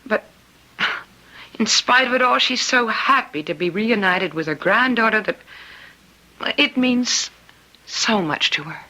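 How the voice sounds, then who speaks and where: Caring, husky-voiced woman doctor. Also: fairly high pitch, animated, measured pace, long pauses, bright, forceful tone, heavily acted, low-quality recording. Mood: troubled. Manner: earnest, sympathetic. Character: caring, earnest female hospital doctor. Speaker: radio drama actor. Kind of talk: old-time radio drama acting.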